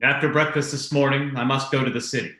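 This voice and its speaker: Man playing obsessive doctor, coldly secretive, tense forceful tone, old-time radio drama, roomy sound. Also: mid-range voice, fairly even intonation, fast, close to an everyday voice. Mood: curt, evasive.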